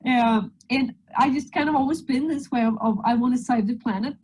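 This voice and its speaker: A woman's voice: on the high side, fairly quick, darkish tone, breathy edge, low-quality recording. A woman from Sweden with a Swedish accent, giving a friendly, joking presentation over a video call. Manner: friendly, joking, slides through a talk. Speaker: guest presenter. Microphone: video call microphone.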